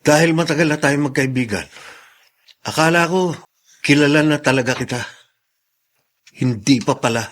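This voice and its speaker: An earnest man in a radio drama who reminds a longtime friend of his public duty, played by an actor. His voice sits a little high. He speaks in a measured, admonishing way. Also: fairly bright tone, lightly acted. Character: principled male colleague, reproachful longtime friend.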